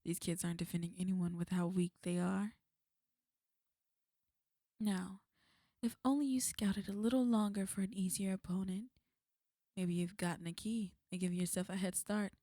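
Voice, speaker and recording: Villainess, ASMR roleplay, played by a woman: dark relaxed tone, very dry close sound, cool threats with a smug, taunting edge. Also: mid-range voice, no echo, lightly acted. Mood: mocking, smug. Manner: taunting, coolly threatening, unhurried. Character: smug, menacing female villain, fond of an enemy.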